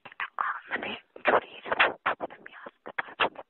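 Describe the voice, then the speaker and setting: A person speaking in a hushed, breathy whisper, pleading through an intimate relationship argument on a phone call. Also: brisk, slight background noise. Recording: muffled tinny phone call recording.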